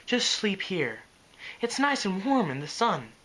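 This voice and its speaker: A reader voicing a timid, gentle pony mare with soft, soothing tones. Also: medium pitch, big pitch swings, a little slow, pitched above the performer's own voice.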